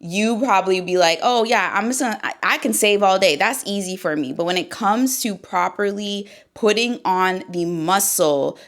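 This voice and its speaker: A woman in her thirties, a yoga teacher, talking casually and candidly to camera from a seat indoors, with loose phrasing and mild swearing. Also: fairly low pitch. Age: 30s. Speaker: yoga teacher and fitness vlogger. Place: seated indoors.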